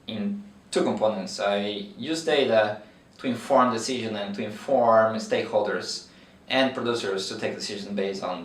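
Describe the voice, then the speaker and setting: A man's voice: fairly low pitch, far from the mic. A man in his thirties from Brazil with a Brazilian accent, explaining his veterinary research in an interview with many fillers and restarts. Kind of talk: interview answers. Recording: roomy echo, mic from afar.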